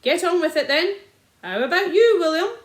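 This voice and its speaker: A woman's voice: very high-pitched, lively intonation, normal speed, pitched above the performer's own voice. Lady doing a jolly country cop, drops his h's, echoey room. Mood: hearty. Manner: dropped h's, rustic dialect. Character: jovial old-fashioned country police sergeant, stern. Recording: roomy echo.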